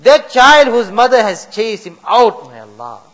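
Male imam, impassioned pleading prayer, high and very expressive. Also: measured pace, rough recording. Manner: pleading, rising to impassioned cries. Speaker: imam leading a supplication. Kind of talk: emotional prayer in a mosque. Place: mosque.

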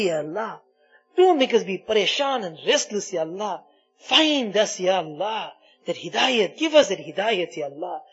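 A man with a husky, rough-edged voice leads a spoken prayer on a muffled, lo-fi recording. He pleads in short repeated phrases, moving between hushed invocations and loud, impassioned appeals. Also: high voice. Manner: swings from hushed murmurs to loud cries. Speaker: religious leader leading a supplication. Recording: muffled, dull lo-fi audio.

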